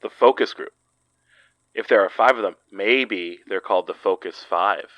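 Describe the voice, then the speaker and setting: A man plays a wry, easygoing investigator in a horror podcast drama. The voice is low and casual, in a dry, slightly muffled recording. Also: normal speed, dry recording, close to an everyday voice. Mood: joking. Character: easygoing, joking man investigating weird events. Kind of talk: naturalistic horror audio drama dialogue.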